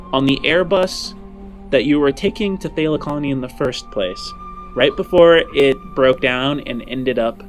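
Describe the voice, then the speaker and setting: A man running a tabletop roleplaying game as game master, narrating scenes in descriptive storytelling. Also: mid-range voice. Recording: music under the voice.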